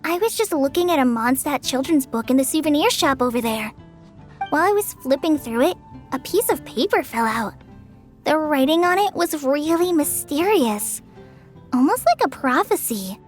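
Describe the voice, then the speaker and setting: A woman playing a shy, friendly teenage girl in a video game, gentle and a little tentative. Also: sits high, animated, moderate pace, soft background music. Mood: friendly, flustered. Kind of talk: video game character dialogue.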